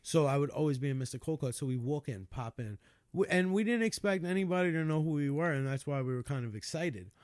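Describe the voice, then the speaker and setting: A man's voice: on the high side, quick pace, dry recording, clean studio sound. Laid-back storytelling guy in his thirties. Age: 30s. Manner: animated storyteller, laughs often. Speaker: podcast guest. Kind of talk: casual chat.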